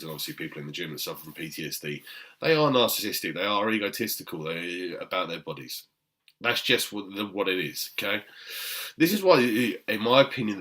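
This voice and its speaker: A man from Britain speaks in a very deep, low voice with a forceful, bright edge. He lectures fellow trainers emphatically, pausing on "um" and checking in with "okay?". It sounds like an unscripted talk to camera. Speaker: personal trainer.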